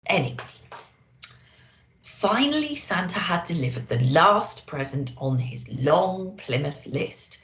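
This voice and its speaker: A woman's voice: lowish voice, sing-song, takes it slowly, fairly bright tone, roomy sound. A woman reading a children's storybook expressively as a Christmas elf storyteller, with dramatic emphasis.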